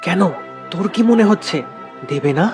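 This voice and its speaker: An actor playing a polite, sheepish schoolboy and big brother in a cartoon, earnest and respectful. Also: male voice, high voice, normal speed, music in the background, lightly acted. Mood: doubtful. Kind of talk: cartoon acting.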